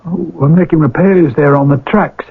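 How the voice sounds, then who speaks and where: Radio drama railwayman: husky, highish male voice, humble and hesitant, eager to oblige, muffled vintage broadcast sound. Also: normal speed. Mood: hesitant, respectful. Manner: hesitant, respectful, folksy. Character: deferential, helpful working railwayman, eager to oblige. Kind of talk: radio mystery drama acting. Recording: lo-fi old radio broadcast recording.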